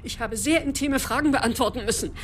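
A woman's voice: fairly high pitch, brisk, slight background noise, lightly acted. An actress playing a proud, respectable, wounded woman in a crime radio play, earnest and reproachful. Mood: indignant, hurt. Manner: indignant, earnest.

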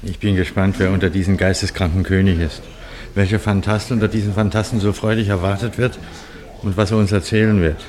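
A man's voice: deep voice, fairly even intonation, a little fast, darkish tone, lightly acted. A man acting in a German radio play as a baffled, sceptical brother, speaking earnestly and formally with some exasperation. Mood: scornful curiosity.

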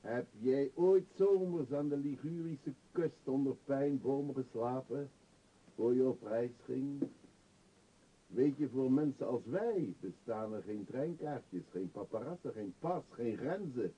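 A man's voice: medium pitch, dark tone, slightly rough. A male actor playing a dreamy, free-spirited vagabond in a Dutch radio play, with a lyrical, musing delivery. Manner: lyrical, musing, rhetorical questions. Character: dreamy, free-spirited vagabond who scorns civilised life. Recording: dull, lo-fi old radio recording.

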